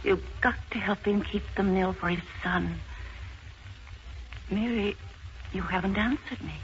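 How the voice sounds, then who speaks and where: A woman's voice: medium pitch, a little slow, lightly acted. Muffled noisy old radio play, actress doing a weary kind old lady who is dying but determined. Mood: earnest, urgent. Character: frail, kindly, dying elderly mother, quietly determined. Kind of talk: 1940s radio drama acting.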